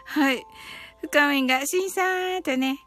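A woman's voice: high voice, unhurried, bright, forceful tone, soft background music. A woman hosting an online live stream, speaking in an informal, personal style as she addresses her listeners. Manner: chatty, reading out listener comments. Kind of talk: casual live stream chat and bilingual guided meditation.

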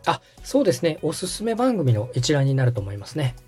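Lively, mellow-voiced man giving instruction. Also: fairly high pitch, a little fast, faint music behind. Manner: polite, explanatory, clearly guiding. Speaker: podcast host and tutorial instructor, radio director. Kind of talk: step-by-step spoken tutorial.

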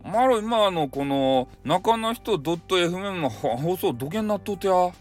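A man's voice: high-pitched, animated, moderate pace, faint music behind, voice pushed up. A man playing a hot-headed, bossy company boss with a Hakata accent, scolding bluntly in a comic radio drama. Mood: furious scolding. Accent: Hakata, Fukuoka.

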